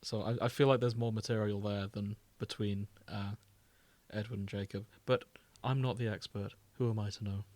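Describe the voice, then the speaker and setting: A man's voice: fairly low pitch, fairly even intonation, normal speed, darkish tone, no room sound. A man co-hosting a podcast in casual chat, with a wry, self-deprecating manner. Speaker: podcast co-host.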